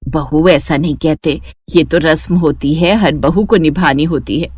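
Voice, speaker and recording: Woman as a gentle, lower-voiced older mother-in-law. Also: measured pace, husky, no room sound, studio quality.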